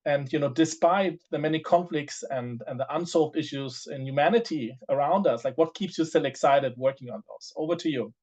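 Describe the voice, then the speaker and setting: A man's voice: fairly high pitch, a little fast, few pauses, a little mellow. A man moderating an online panel, speaking with many fillers and restarts over a video call. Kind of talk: webinar moderation.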